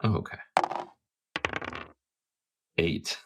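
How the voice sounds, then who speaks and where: A man's voice: extremely slow, noisy background. A man playing a tabletop game, improvising casually in his own voice.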